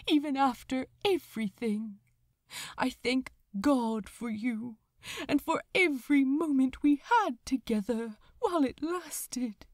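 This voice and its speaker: A woman voicing an earnest, tearful male angel confessing love in a halting, fervent way, as in an audiobook. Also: on the high side, darkish tone, no room sound, clean studio sound. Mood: tearful, grateful. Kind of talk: emotional audiobook character dialogue.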